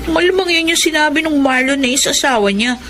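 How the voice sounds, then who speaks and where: An actress playing a concerned, protective young daughter in a Filipino radio drama, earnest and pleading. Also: female voice, sits high, brisk, bright, forceful tone, soft background music.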